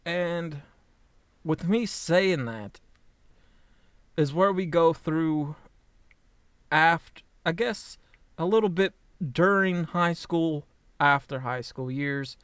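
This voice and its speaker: A man in his late twenties with a slightly high voice talks straight into the mic in a casual vlog. He rambles, swears bluntly and trips over restarts. The sound is very dry and close, with no room echo. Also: fairly bright tone, clear, resonant tone. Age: 20s. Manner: halting with frequent restarts. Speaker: vlogger. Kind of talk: casual personal vlog monologue.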